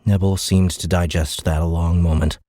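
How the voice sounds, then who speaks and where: A man's voice: deep voice, little pitch movement, normal speed, mellow, no echo, clean studio sound. A man reading a science fiction audiobook in scripted dramatic narration.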